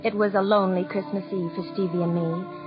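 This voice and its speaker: An actress playing a gentle widowed young mother, narrating and acting in 1940s radio drama style on a muffled old recording. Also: medium pitch, little pitch movement, a little fast, over loud music, close to an everyday voice. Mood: reflective.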